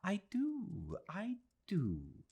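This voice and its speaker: Casting: fond, spirited elderly Englishwoman played by a man, lifted pitch, for a literary audiobook. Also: drawn-out words, vocal fry, husky, exaggerated voice. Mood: animated, fond. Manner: suddenly lively, affectionate. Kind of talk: audiobook character voice.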